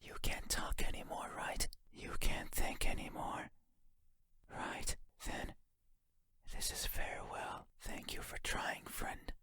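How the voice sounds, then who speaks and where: A man voicing an eerie, obsessive night creature in a horror game, speaking in a breathy whisper with deliberate, halting, intense phrasing. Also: sharp tone. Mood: eerily tender.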